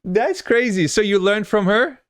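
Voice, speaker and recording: A Brazilian-born man hosts the interview with a smooth, resonant voice, captured dry and close with almost no room sound. He is chatty and enthusiastic and keeps agreeing with his guest. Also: high voice, unhurried, no echo. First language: Portuguese. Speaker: podcast host. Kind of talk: casual conversational interview.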